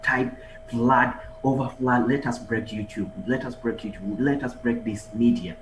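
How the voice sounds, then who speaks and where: A man from Uganda, giving a motivational conference talk over a video call, energetic and urging the audience on. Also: mid-range voice, unhurried. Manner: energetic, exhorts the audience with repeated calls. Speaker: guest speaker, online marketer and trader. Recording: echoey room with music behind.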